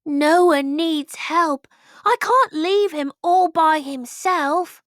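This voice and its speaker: A woman voicing a young storybook prince, an excitable boy who is frightened but brave, with dramatic exclamations. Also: extremely high pitch, drawn-out words, dry recording, clean studio sound, voice pushed up, full character voice. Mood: worried resolve.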